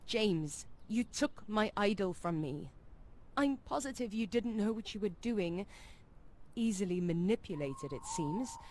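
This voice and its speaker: A woman playing an ancient noble vampire in a game, imperious and mocking, with a deliberate, sardonic delivery. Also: mid-range voice, darkish tone, a little hiss or hum. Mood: cool, demanding. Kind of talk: dramatic RPG voice acting.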